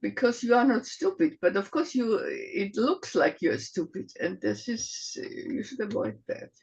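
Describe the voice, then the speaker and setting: A woman's voice: mid-range voice, big pitch swings, a little slow, darkish tone, slightly rough, lo-fi sound. A woman in a casual group conversation, offering advice with some hesitant fillers. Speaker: discussion group member.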